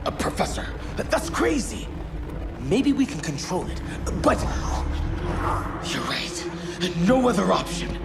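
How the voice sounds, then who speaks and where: Casting: brash young hero, animated and creaky, emphatic game-cutscene drama. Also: male voice, sits high, slow, exaggerated voice. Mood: desperate, resolute. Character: brash, determined young male fiery superhero. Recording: loud game music behind.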